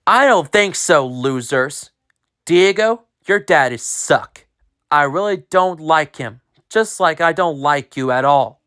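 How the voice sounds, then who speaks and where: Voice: medium pitch, unhurried, a bit bright, pitched above the performer's own voice, close to an everyday voice. A reader voicing a mean, taunting school bully in a homemade spoken story. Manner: sneering, taunting.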